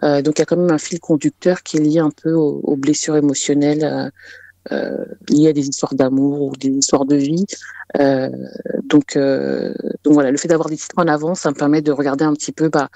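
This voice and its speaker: A woman singer answering interview questions, pausing often with fillers and restarting her sentences. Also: low-pitched, little pitch movement, mellow, no echo. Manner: hesitant, many fillers and restarts. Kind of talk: casual radio interview answers.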